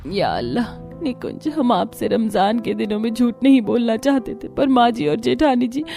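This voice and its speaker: A woman's voice: a little high, animated, normal speed, gravelly, warm tone. Woman as a meek pious young wife in a hindi cartoon, polite, pleading, light music under it. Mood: sorrowful. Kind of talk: Hindi cartoon story acting.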